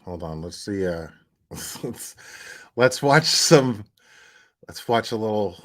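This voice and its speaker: A man's voice: on the low side, lively intonation, slow, fairly bright tone. A man hosting a livestream, giving casual, slangy and sarcastic commentary on clips in an amused way. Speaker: livestream host reacting to clips.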